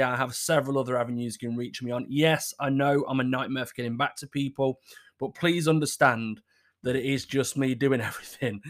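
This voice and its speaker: Man with a scratchy sore throat. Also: medium pitch. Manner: rambling and apologetic, with restarts. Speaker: podcast host. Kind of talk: casual solo podcast chat.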